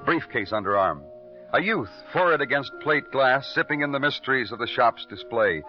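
An actor playing a wry, weary New York police detective who narrates in a lyrical style, in an old radio crime drama. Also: male voice, medium pitch, animated, moderate pace, faint music behind, natural voice. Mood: brooding, poetic. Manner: clipped, dry delivery in dialogue, florid in narration. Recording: muffled old radio recording.

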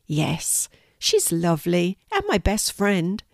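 A woman voicing a kind male stable animal in a children's story, speaking in a gentle, calm and reassuring manner. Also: fairly low pitch, slow, vocal fry, dry recording, studio quality, heavily acted.

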